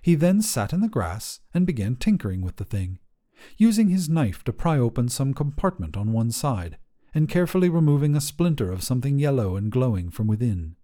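Man, short story narration, mellow and relaxed timbre, animated pitch movement. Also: a little fast. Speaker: fiction podcast narrator.

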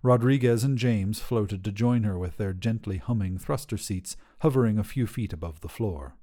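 A man's voice: fairly low pitch, normal speed, warm tone. A man reading a scripted short story as a podcast narrator.

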